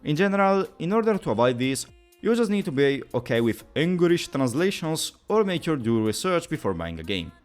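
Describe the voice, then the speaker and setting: A man's voice: a little high, big pitch swings, fairly quick, faint music behind. A man narrating a scripted explainer video in an emphatic, conversational way, posing rhetorical questions as he goes. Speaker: YouTube video essayist and presenter.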